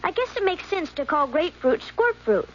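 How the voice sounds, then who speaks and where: A woman's voice: extremely high pitch, very animated, normal speed. A woman voicing an earnest schoolboy reciting a naive class report in a scripted radio ad. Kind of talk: scripted 1970s radio ad acting. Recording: dull, faintly noisy radio recording.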